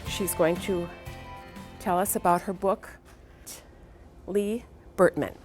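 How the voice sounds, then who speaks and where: A woman's voice: fairly low pitch, steady tone, slow pace, a little mellow, soft background music. A woman welcoming the audience to a library and introducing the evening's speaker in a brief, formal way.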